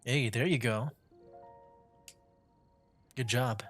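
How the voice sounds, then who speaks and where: A man's voice: mid-range voice, very slow, soft background music. A man giving casual, rambling commentary as he streams a video game, talking conversationally.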